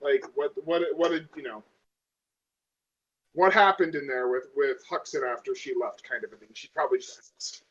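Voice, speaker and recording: A man's voice: medium pitch, normal speed, fairly bright tone, husky. A man casually talking through a tabletop game out of character as a player, thinking aloud in a hesitant way. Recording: dull lo-fi audio.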